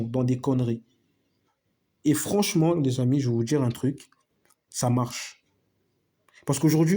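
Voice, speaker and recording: A man's voice: medium pitch, warm tone, husky. A man giving a casual opinion monologue to his viewers, emphatic and repetitive, full of slang and asides to his friends. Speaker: video host.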